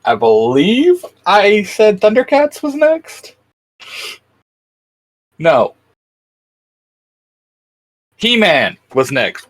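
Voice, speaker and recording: A man's voice: medium pitch, fairly bright tone. A man co-hosting a casual fan chat, giving his opinions in a hesitant, joking manner. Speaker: co-host of a fan discussion show.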